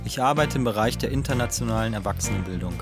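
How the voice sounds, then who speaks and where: A man's voice: fairly low pitch, quick pace, gravelly. A man delivering scripted first-person narration. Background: guitar music.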